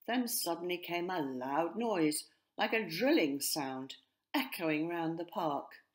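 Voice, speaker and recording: A woman narrating a children's storybook expressively, in measured phrases that sometimes drop to hushed tones. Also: on the low side, lively intonation. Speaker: author narrating her own story.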